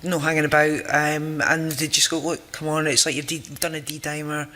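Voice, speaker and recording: Brief: chatty patient panelist, bright tense tone, a little high, little pitch movement. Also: male voice, little pitch movement, moderate pace. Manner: chatty, joking. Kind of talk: casual panel talk.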